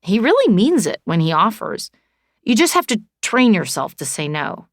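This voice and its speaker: A woman's voice: mid-range voice, sing-song, moderate pace, no room sound, studio quality, voice pushed up. A woman voicing an easygoing, boyish young man, earnest and a little goofy, in audiobook dialogue. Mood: wry, sympathetic.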